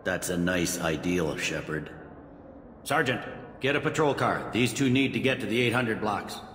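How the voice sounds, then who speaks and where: Deep-voiced, seasoned police officer. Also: male voice, expressive, normal speed, a bit bright, echoey room, in a large hall, a little hiss or hum. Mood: authoritative. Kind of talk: video game voice acting.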